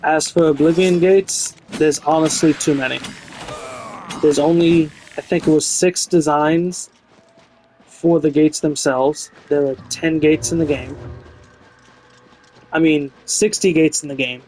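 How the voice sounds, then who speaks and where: Higher-voiced man reviewing a game. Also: steady tone, a little slow, pauses often, darkish tone, a little hiss or hum, poor audio. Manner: frank, opinionated. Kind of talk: casual, unscripted game review commentary. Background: music behind the voice.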